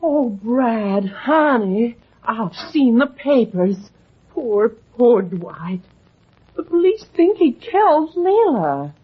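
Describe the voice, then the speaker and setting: A woman's voice: a little high, big pitch swings, slow, slightly rough, full character voice. An actress playing a coy, sugary, evasive Southern woman with an American Southern accent in a vintage radio drama. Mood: pitying. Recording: muffled vintage radio recording.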